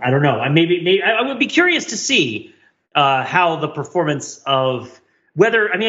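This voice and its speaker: A man's voice: mid-range voice, fairly bright tone, highs cut off. A man co-hosting a casual podcast, thinking aloud in an analytical, discursive way. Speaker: podcast co-host. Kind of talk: casual panel chat.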